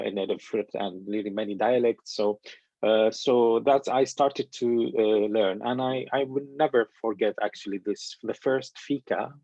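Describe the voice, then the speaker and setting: A man from Syria talks through his answers in a low voice, pausing often with fillers and restarting his sentences. The sound is dry and close, with a slightly dull, muffled tone. He has a thoughtful, searching way of speaking. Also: creaky, darkish tone, no echo. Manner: hesitant, many fillers and restarts. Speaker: guest, documentary narrator. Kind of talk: conversational panel interview.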